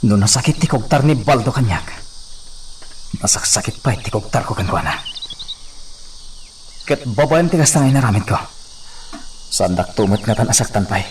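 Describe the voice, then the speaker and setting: A man's voice: lowish voice, lively intonation, normal speed, long pauses, slight background noise, natural voice. A man playing a wronged, earnest and defiant young farm laborer in an Ilocano radio drama.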